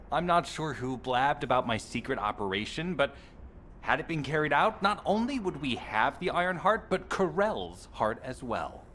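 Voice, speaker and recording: Cocky, sarcastic soldier, lively swooping delivery. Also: male voice, mid-range voice, a little hiss or hum. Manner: breezy, sarcastic, swaggering. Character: cocky flippant male soldier, sarcastic and bitter. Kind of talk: video game cutscene acting.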